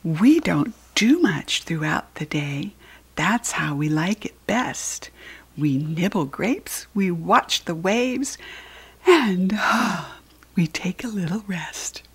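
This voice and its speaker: Children's story reader: American woman, breathy, unhurried delivery with a lively swooping pitch. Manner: expressive, addressing small children. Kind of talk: read-aloud storytelling for young children.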